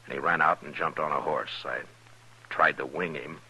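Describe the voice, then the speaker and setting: Very deep, stern frontier marshal. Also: male voice, steady tone, moderate pace, bright, forceful tone, breathy edge, full character voice. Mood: grave, frank. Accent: American. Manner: terse, authoritative. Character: stern, steady frontier marshal of the Old West. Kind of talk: 1950s radio western acting. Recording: noisy old radio drama recording.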